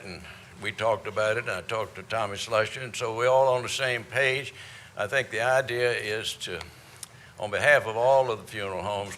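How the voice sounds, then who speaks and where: Older man moderating, low-pitched but bright, halting and rambling, faint hiss. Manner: halting and rambling, with many fillers. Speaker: event moderator introducing speakers.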